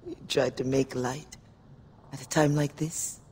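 A performer acts out a sorrowful rebel comrade in a game drama. The medium-pitched voice is wistful and reserved, with a wry edge. Faint noise lies beneath it. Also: fairly even intonation, measured pace, darkish tone, natural voice. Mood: wistful farewell. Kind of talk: cinematic video game acting.

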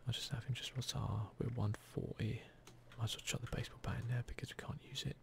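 A man giving whispered, relaxed ASMR commentary over gameplay, musing idly close to the microphone. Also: medium pitch, quick pace, creaky, slight background noise. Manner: relaxed, idle musing to himself and viewers. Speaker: gaming channel host.

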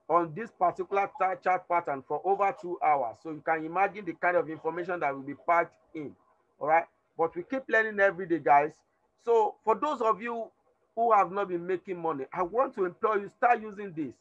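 A man with a hoarse, tired voice teaching a live online trading class, repeating himself and urging students to respond. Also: high-pitched, fairly bright tone, dull audio. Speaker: trading instructor. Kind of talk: live online class over a chart screen.

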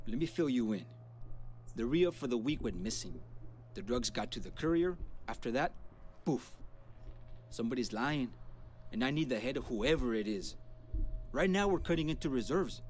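A man's voice: mid-range voice, lively intonation, moderate pace, pauses often, darkish tone, slight background noise, natural voice. An actor voicing a suspicious, menacing male gang boss in a video game, with a confrontational, streetwise, curse-heavy manner. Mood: wary, gruff.

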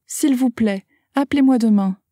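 A woman's voice: on the high side, moderate pace, warm tone, no room sound, clean studio sound. A woman carefully reading short phrases aloud for a language lesson, enunciating each one. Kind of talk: slow scripted phrase reading.

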